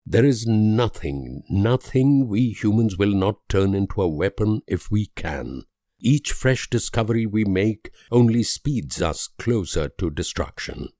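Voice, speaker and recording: An actor playing a grave, idealistic and world-weary political leader in a strategy game, speaking in measured, solemn oratory with dramatic pauses. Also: male voice, fairly low pitch, lively intonation, dark tone, dry recording, clean studio sound. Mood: bleak.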